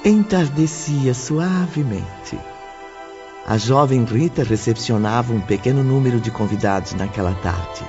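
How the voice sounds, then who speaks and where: A man's voice: medium pitch, lively intonation, measured pace, dull audio. A man reading scripted literary narration for a dramatized audiobook. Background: music.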